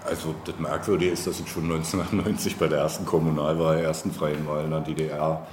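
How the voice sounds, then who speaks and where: A middle-aged man from East Germany giving reflective, conversational interview answers as a local politician, halting and searching for words. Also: a bass voice, level tone, darkish tone. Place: indoor room, face-to-face over coffee. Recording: echoey room with faint background noise.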